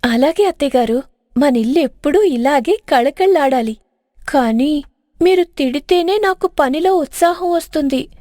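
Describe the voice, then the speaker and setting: A young village daughter-in-law, played by a woman, meek and devoted, with a very high voice. She speaks respectfully and earnestly in a storybook style. The recording is close and dry. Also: animated, unhurried, dark tone, dry recording. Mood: affectionate.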